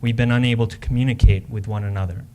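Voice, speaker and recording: A man's voice: on the low side, fairly even intonation, fast, a little mellow, natural voice. A man playing a devoted Civil War soldier, earnestly reading a formal love letter to his wife. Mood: devoted longing. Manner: earnest, formal, old-fashioned phrasing.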